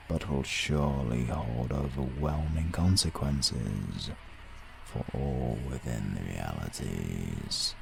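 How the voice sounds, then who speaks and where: A man performs an ancient British overseer of time, extremely low and gravelly. He is formal, measured and mysterious, weighing each phrase with portent in a fantasy audio roleplay. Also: exaggerated voice. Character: ancient, all-seeing male keeper of time, solemn and mysterious.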